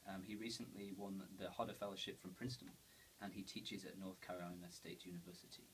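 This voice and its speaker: A man's voice: low-pitched, steady tone, fast talker. A man in his thirties hosting an informal interview, introducing and asking questions in tentative, roundabout phrasing. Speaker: interviewer and host. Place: indoors in a bookshop, seated. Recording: distant, hissy camera recording.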